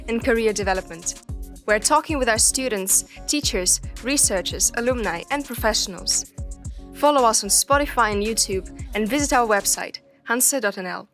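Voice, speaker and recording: Polished woman announcer over music. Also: on the high side. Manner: polished, even read. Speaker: podcast intro announcer. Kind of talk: scripted intro narration.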